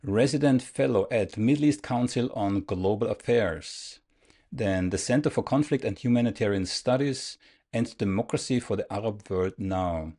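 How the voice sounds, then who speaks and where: Man, interview host, fairly low voice, earnest, emphatic. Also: moderate pace, mellow. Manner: earnest, emphatic channel appeal. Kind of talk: political interview. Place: in the Netherlands.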